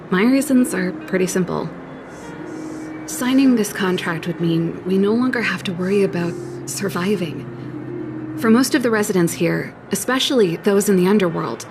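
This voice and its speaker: A woman's voice: medium pitch, close to an everyday voice. A woman voicing a laid-back, candid young scientist with playful confidence in a game. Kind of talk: game cutscene voice acting.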